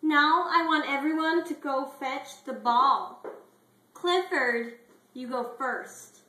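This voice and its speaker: A woman's voice: high voice, slow, pushed and bright, reverberant, pitched above the performer's own voice, heavily acted. A woman voicing a female spaniel who teaches an obedience class in a storybook, giving instructions and praise. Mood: encouraging. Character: female spaniel obedience teacher, upbeat, openly favoring pets.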